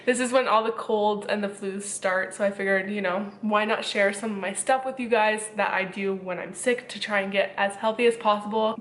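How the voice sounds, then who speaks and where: A woman's voice: medium pitch, fairly bright tone, slight background noise. A young woman with a stuffy, congested nose from a cold, chatting casually and rambling to the camera from her home kitchen, a bit short of breath. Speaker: vlogger. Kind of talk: casual vlog talk to camera.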